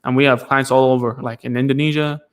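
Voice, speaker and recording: A man's voice: medium pitch, little pitch movement, fast talker, smooth. A man hosting a casual live stream Q&A as a speech therapist, talking loosely with many fillers and restarts.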